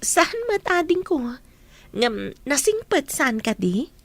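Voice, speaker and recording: A woman's voice: sits high, very animated, slow, no room sound, full character voice. A woman acting a kind, grateful young woman and caring older sister in a radio comedy drama.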